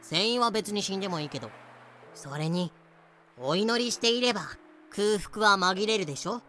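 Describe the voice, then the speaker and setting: Man putting on a high, haughty pious lady captain. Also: normal speed, pushed and bright. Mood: smug. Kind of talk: fan-made voice drama. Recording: music behind the voice.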